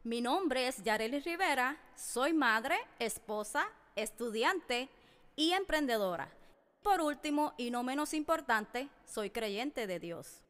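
Puerto Rican woman, bright high voice. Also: lively intonation, slow, slight background noise. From Puerto Rico. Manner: emphatic, addresses listeners directly. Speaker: podcast host. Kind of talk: personal podcast monologue. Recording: long reverberant hall echo.

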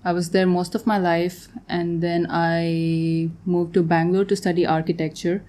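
A woman's voice: fairly low pitch, little pitch movement, normal speed, warm tone. A young woman who grew up in Tanzania, a guest artist talking casually in an interview, often laughing and trailing off mid-sentence.

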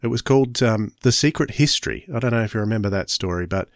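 A man's voice: on the low side, quick pace, no echo, clean studio sound. A man hosting a casual interview, conversational and prompting his guest with questions. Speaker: podcast host, interviewer.

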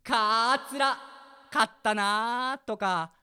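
A man's voice: very high voice, drawn-out words, pushed and bright, clear, resonant tone, heavily acted. A man playing a timid, agreeable young office subordinate in a comic radio drama, deferential and conversational. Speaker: voice actor.

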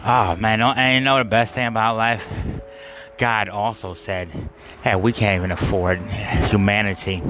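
A man's voice: on the low side, steady tone, moderate pace, bright, forceful tone, a little hiss or hum. A man telling a rambling personal story, full of fillers, restarts and bursts of laughter at himself. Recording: music playing behind.

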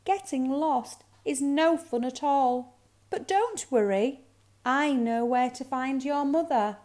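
A little girl from a children's story, acted by a woman narrator. Her voice is lifted high and sounds kind and sure of herself. She talks in a plain, reassuring manner. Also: slow pace, darkish tone.

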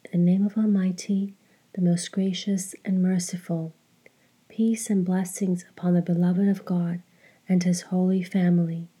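A woman leading a guided meditation in a soft, hushed, breathy voice, speaking in a measured way with long pauses. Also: lowish voice, little pitch movement, poor audio. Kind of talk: slow guided meditation narration.

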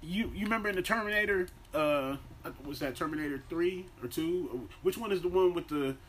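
Slangy American man, slightly high-pitched. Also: a little fast, a bit bright. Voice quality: deep-voiced by own claim. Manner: slangy, swearing, conversational. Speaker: podcast co-host. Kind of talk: casual profane banter and animated storytelling. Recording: faint background noise.

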